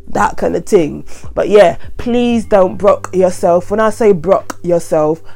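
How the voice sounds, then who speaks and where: Woman, croaky with a cold, mellow low-toned voice, repeats her warnings, soft music underneath. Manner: chatty, repetitive, earnest warnings. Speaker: vlogger. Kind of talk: casual advice monologue to camera.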